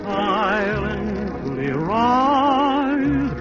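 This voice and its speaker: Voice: medium pitch, animated, very slow. A singer performing a sentimental 1940s song on a muffled vintage recording with music.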